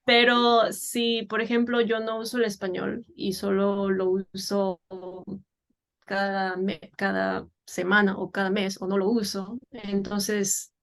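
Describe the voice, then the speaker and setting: A woman's voice: mid-range voice, level tone, takes it slowly. A woman from Peru, a children's English teacher, talking in a casual interview with many fillers and restarts. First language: Spanish.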